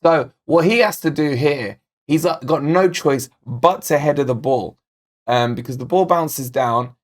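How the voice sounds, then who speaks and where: Slightly croaky football fan host. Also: male voice, a little high, measured pace. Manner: chatty, direct, addresses viewers as guys. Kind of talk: casual match analysis to camera. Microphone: desk microphone.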